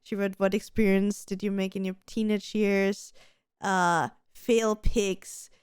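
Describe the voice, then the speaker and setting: A woman's voice: medium pitch, flat delivery, slow, darkish tone, clear, resonant tone. A woman co-hosting a casual podcast, chatty and giving advice, with a slight stutter and many restarts. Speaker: podcast co-host.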